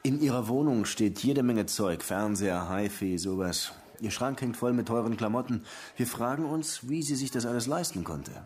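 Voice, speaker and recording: A man's voice: mid-range voice, moderate pace, hardly any pauses, darkish tone, slight background noise, natural voice. An actor playing a streetwise, persistent police detective in a radio crime play, casual and probing in his questions. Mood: probing, matter-of-fact. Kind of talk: naturalistic German radio play dialogue.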